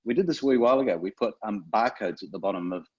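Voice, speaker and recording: A man's voice: fairly low pitch, expressive, rapid-fire, darkish tone, husky, highs cut off. A man with a New Zealand accent giving a chatty, self-deprecating webinar presentation as a guest speaker from a retailer.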